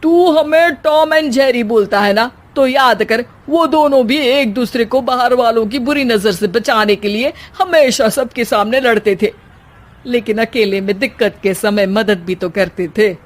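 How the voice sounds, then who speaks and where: A woman voicing a sharp-tongued, bossy but protective cartoon mother-in-law with an emphatic, scolding, sarcastic delivery. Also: a little high, unhurried, close to an everyday voice. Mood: proud, emphatic.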